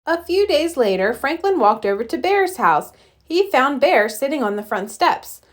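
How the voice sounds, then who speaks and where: A woman reading a children's story aloud in an expressive, scripted storytelling style. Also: sits high, normal speed. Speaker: storybook reader.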